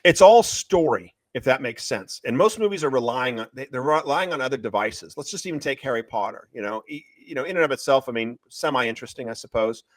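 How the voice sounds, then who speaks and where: A man talking as an interviewed author and screenwriter, retelling a story in an excitable, emphatic, repetitive way. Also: mid-range voice, fairly quick, hardly any pauses, no room sound. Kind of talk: animated promotional interview talk, retelling a story.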